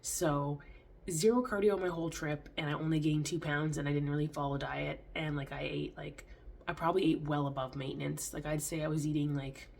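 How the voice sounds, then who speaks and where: Woman from Canada, low voice, nose all blocked up, rambling fitness vlog chat, faint hiss behind. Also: fairly even intonation, brisk, gravelly, darkish tone. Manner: rambling, lots of "like" and restarts. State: congested, stuffy nose. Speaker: fitness vlogger. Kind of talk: casual chat to camera.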